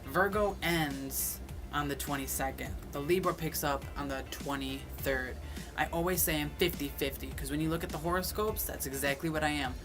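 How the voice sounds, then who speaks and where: A man's voice: on the high side, moderate pace, over music. A young man in his twenties chatting casually to camera, reading questions aloud and answering them, recorded on a phone. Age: 20s. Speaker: vlogger.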